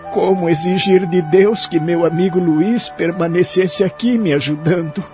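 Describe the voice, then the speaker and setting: An actor playing a lonely, sorrowful elderly care-home resident in an audio drama, speaking in a measured, plaintive way. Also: male voice, sits high, warm tone, lightly acted. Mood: sorrowful, reflective. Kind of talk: audio drama narration and dialogue. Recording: dull lo-fi audio with music behind.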